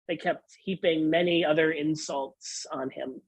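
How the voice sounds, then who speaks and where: Pastor reading scripture dramatically. Husky voice, medium pitch, dry close sound. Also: steady tone, a little slow, no echo.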